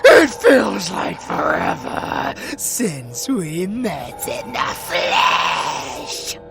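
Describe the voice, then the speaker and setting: A British man playing a sadistic supervillain in an audio roleplay, menacing and gleeful, with a theatrical, taunting, drawn-out delivery. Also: a little high, very animated, vocal fry, shouting, exaggerated voice. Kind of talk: villain audio roleplay, dramatic acting. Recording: music behind the voice.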